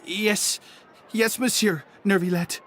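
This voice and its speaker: A man's voice: sits high, fairly even intonation, takes it slowly, fairly bright tone, a little hiss or hum. A man playing a nervous, apologetic underling in an English video game dub, hesitant and stammering.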